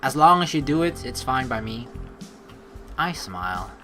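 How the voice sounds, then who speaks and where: A woman's voice: a bass voice, big pitch swings, moderate pace, a bit bright, soft background music. A woman hosting a let's play in a chatty, rambling, earnest way, mixing casual commentary with reading game text aloud.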